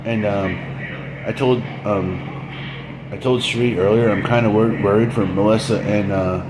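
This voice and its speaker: A man's voice: lowish voice, steady tone, measured pace, noisy background. A man live-streaming late at night, chatting casually with his viewers while losing his voice, rambling and repeating himself with frequent chuckles. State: losing his voice, dry throat.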